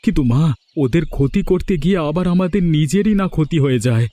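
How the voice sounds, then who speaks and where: A man's voice: a little high, expressive, fast talker, warm tone, no room sound, lightly acted. A man voicing an anxious grown son who helps run a village eatery in a cartoon, speaking in a worried, hesitant way. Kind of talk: cartoon story voice acting.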